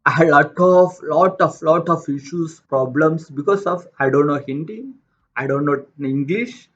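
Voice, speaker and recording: A man with a Tamil accent, a native Tamil speaker from India, talking about his own life in a halting way, with many restarts and repeated words. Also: a little high. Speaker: motivational vlogger. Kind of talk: unscripted personal talk. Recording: dull lo-fi sound.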